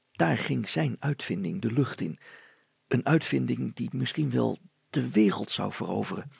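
A man reading a story aloud as an expressive narrator. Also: medium pitch, vocal fry, slightly rough, dry recording.

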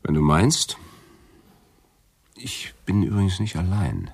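An actor playing a slick, bitter gambler and cocky schemer in a hard-boiled radio drama, with a casual, insinuating manner. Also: male voice, low-pitched, big pitch swings, slow, gravelly, husky, heavily acted. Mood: scheming, bitter.

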